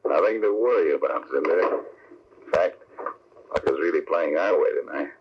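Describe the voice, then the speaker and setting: Deep-voiced, smug gangster henchman. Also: moderate pace. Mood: smug, calm. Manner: self-satisfied, matter-of-fact. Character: smug, cool-headed male underworld killer and henchman. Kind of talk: old-time radio drama acting. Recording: muffled old radio recording, faint hiss.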